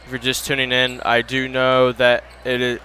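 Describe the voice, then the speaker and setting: A man with a Midwestern American accent calling a high school basketball webcast, chatting casually and thanking sponsors before tipoff. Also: monotone, normal speed, bright, forceful tone, slight background noise. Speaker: sports webcast announcer. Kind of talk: casual pregame commentary.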